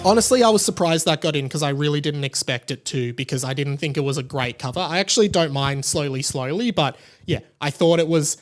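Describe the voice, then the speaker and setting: A man's voice: a little high, animated, fairly quick, hardly any pauses, soft background music. A man in his thirties with an Australian accent hosting a podcast solo, chatty and rambling in casual commentary. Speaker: podcast host.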